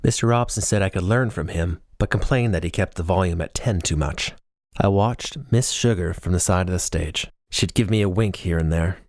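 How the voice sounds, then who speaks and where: A man's voice: deep voice, normal speed, a little mellow, dry recording, clean studio sound. A man reading a first-person novel as scripted audiobook narration.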